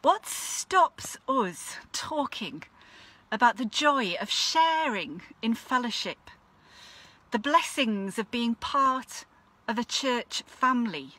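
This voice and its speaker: A woman minister from Leeds, giving a measured, reflective devotional talk. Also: on the high side, expressive, pushed and bright. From Leeds, England. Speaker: Methodist minister. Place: outdoors at a football stadium.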